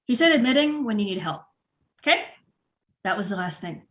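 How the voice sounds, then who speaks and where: A woman acting a disorganized, sheepish store manager in a comedy table read, halting and awkward as she rambles. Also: medium pitch, lively intonation, fairly bright tone, natural voice.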